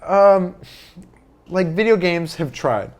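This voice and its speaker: A man with a slightly high voice hosts a casual podcast discussion. He talks in a conversational way and states his opinions plainly. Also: animated, slow pace, a little mellow. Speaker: podcast host. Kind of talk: casual chat on a film podcast.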